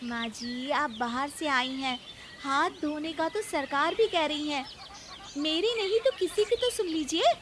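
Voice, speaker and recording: A woman voicing a nagging, cheeky young daughter-in-law in a cartoon story, pleading and insistent, quick to talk back. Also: sits high, fairly bright tone, a little hiss or hum. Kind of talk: acted children's cartoon story.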